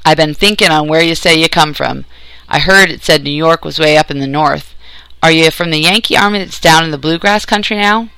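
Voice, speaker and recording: A man's voice: fairly high pitch, brisk, gravelly, bright, forceful tone, clean tone, no room sound. Man voicing a harsh, commanding old mountain granny. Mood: stern, scornful. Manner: rustic backwoods dialect, blunt and commanding. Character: gruff, tall old mountain granny with a pistol.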